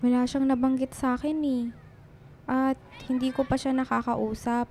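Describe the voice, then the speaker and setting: An actress playing a young woman, a caring and sensible friend, in a radio drama, expressive and matter-of-fact. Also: sits high, normal speed, a little hiss or hum. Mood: reassuring.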